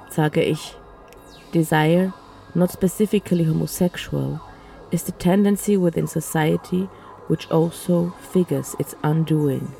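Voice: mid-range voice, creaky, dark tone, low-quality recording. A narrator reading a literary first-person audio play in an intimate, matter-of-fact way. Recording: music behind the voice. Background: music.

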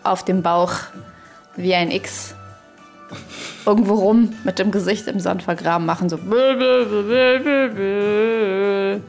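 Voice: mid-range voice, steady tone, slow pace, creaky, soft background music. Playful, meandering podcast co-host, female-sounding woman's voice. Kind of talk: casual chat.

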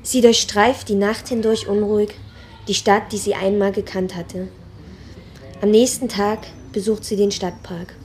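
A woman's voice: medium pitch, steady tone, unhurried, a little mellow, natural voice. A woman narrating an amateur radio play as a neutral storyteller, reading from a script on a slightly noisy recording. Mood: matter-of-fact.

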